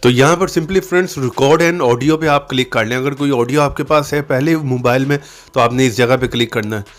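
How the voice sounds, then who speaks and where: A man's voice: medium pitch, moderate pace. A man hosting a tutorial channel, narrating step-by-step instructions on how to use an app.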